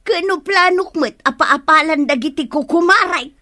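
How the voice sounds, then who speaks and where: A woman's voice: extremely high pitch, normal speed, bright, forceful tone. A woman with an Ilocano accent playing a nagging, gossipy village wife in a radio comedy drama.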